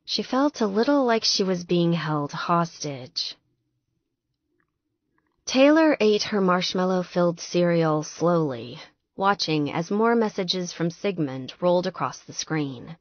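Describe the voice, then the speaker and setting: A woman narrating an audiobook novel in an expressive, scripted storytelling style. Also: fairly low pitch, slow pace, no echo, dull audio.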